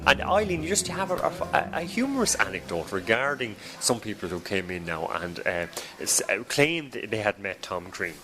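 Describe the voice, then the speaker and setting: A man's voice: on the low side, sing-song, normal speed, hardly any pauses, over music. A man presenting a travelogue radio documentary, interviewing guests with enthusiastic, rambling questions.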